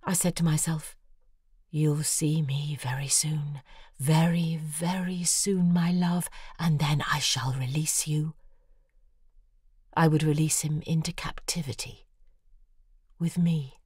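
An actress playing a lovelorn, obsessive woman, narrating an intimate audio drama in a hushed, measured, confessional way. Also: low-pitched, steady tone, slightly rough, no room sound, studio quality, exaggerated voice. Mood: obsessive resolve. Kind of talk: intimate scripted first-person drama narration.

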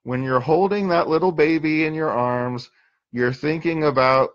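A man's voice: fairly high pitch, normal speed. A man in his forties, a therapist, talking unscripted to his webcam in a small dim room, rambling with dry self-mocking humor. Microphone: built-in webcam mic. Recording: dull lo-fi webcam audio.

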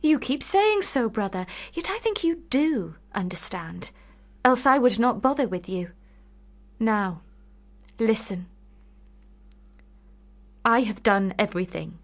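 An actress in a period radio drama playing a lovelorn, sharp-witted sister, speaking with formal, deliberate, cutting irony. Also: female voice, on the high side, animated.

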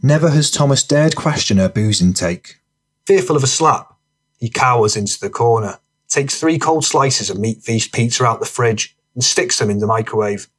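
A man's voice: medium pitch, animated, moderate pace, fairly bright tone, breathy edge. A man narrating a gritty crime audiobook, reading scripted present-tense fiction.

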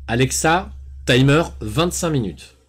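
A man's voice: medium pitch, animated, unhurried, husky. A man in his thirties from south-east France with a faint southern accent, chatting casually and rambling with many fillers on a live cooking stream into a headset mic. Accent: southern French, faint. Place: home kitchen and small room.

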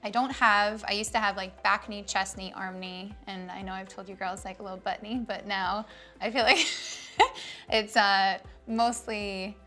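A woman in her thirties, co-hosting a casual vlog chat, her voice slightly raspy from an early start, chatty and giggly. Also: medium pitch, steady tone, unhurried, sharp tone, soft background music. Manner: chatty, giggly, self-mocking. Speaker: fitness vlog co-host. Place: bright studio set, seated on a couch.

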